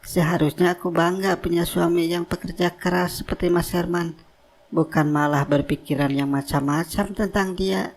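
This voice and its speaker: A woman playing a devoted, worried young wife in a cartoon drama, speaking in a measured, plaintive, earnest way. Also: fairly low pitch, fairly even intonation, darkish tone. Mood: worried, wistful. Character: devoted young wife, worried, doubting her husband's love. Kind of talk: cartoon drama inner monologue.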